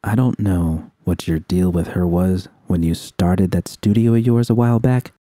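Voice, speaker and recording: A man's voice: low-pitched, mellow, dry recording, clean studio sound. A man voicing a tough, worried woman gang member, slangy and conspiratorial, in audiobook dialogue.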